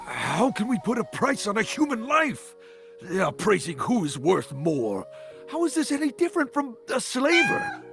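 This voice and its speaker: Indignant idealist, high, creaky, challenging game drama, music behind. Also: male voice, wildly swooping pitch, moderate pace, full character voice. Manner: outraged, challenging. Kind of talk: dramatic video game acting.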